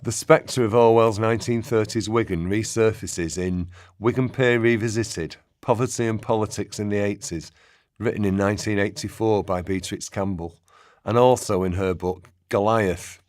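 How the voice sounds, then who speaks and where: A man's voice: lowish voice, steady tone, moderate pace, darkish tone. A man narrating a scripted, documentary-style radio play.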